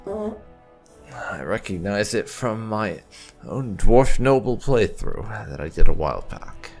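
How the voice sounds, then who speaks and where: A very deep-voiced man hosts a roleplay let's play. His delivery is slow and thoughtful, with hugely varied intonation and a creaky, gravelly edge. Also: sing-song, a little mellow, soft background music. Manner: thoughtful, deliberate, reading character dialogue aloud. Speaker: gaming channel host.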